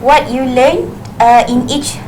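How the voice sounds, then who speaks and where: A female student in her twenties explains herself as an interview guest. Her voice is fairly high and comes in short phrases with pauses, over clearly audible noise. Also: steady tone, darkish tone. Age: 20s. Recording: clearly noisy background.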